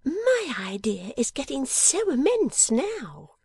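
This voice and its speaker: A woman voicing a sharp, impatient, bossy young dolls' dressmaker in an audiobook, with emphatic, scolding delivery. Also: sits high, huge pitch swings, slow, no echo, pitched above the performer's own voice, exaggerated voice. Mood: excited, scheming. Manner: emphatic, scolding, theatrical exclamations. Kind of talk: dramatised audiobook character reading.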